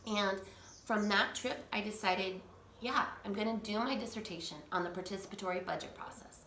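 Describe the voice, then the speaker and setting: A woman's voice: medium pitch, moderate pace, a bit bright, slight background noise. A woman in her thirties, a language coach, speaking her mind earnestly in a personal vlog and restarting as she goes. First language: English. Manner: earnest, talks through restarts. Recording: echoey room, faint ambience.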